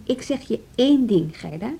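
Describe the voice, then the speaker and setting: A high, lively female voice with a Netherlands Dutch accent. She is an assertive music school staffer, brisk and insistent, and her pitch moves freely. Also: gravelly, slightly rough, heavily acted. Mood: firm, insistent. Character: assertive, animated woman on a music school's leadership team. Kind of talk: radio drama acting.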